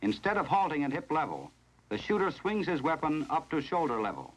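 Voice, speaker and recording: Higher-pitched American man, vintage training film narrator. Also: very animated, low-quality recording. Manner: formal, measured, instructional. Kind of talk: 1970s instructional film narration, scripted. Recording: vintage 1970s film soundtrack.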